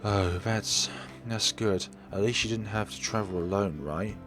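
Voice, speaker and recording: A man's voice: lowish voice, unhurried, over music, natural voice. A man voicing a worried, jealous, exasperated woman in a visual novel. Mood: hurt, forced calm. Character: Rebecca, worried, jealous, fussing adult woman friend.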